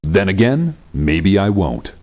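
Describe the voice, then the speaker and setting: An actor playing a brash, sarcastic mercenary locksmith in a video game, with a scornful way of talking. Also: male voice, low-pitched, big pitch swings, takes it slowly, a little mellow, full character voice. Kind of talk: video game character voice lines.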